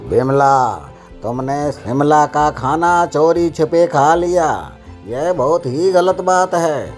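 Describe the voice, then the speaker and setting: A woman voicing a bumbling, easily amused king in a Hindi comedy cartoon, exclamatory and deliberate. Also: sits low, darkish tone. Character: drowsy, easily amused king judging petty quarrels. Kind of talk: Hindi animated comedy acting.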